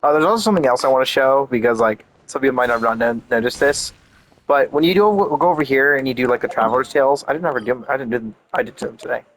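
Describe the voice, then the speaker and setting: A man's voice: medium pitch, fast, a bit bright, slight background noise, low-quality recording. A man doing casual gameplay commentary, rambling and thinking aloud as he streams. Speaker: game streamer. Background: game music.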